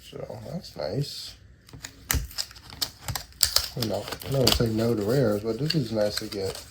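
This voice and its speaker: Nasal, gravelly man with a cold. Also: fairly low pitch, takes it slowly. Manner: rambling, reads card names aloud between asides. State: stuffy nose from a cold. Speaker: trading card collector opening booster boxes. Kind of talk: casual box-opening commentary. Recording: noisy with card shuffling and clicks.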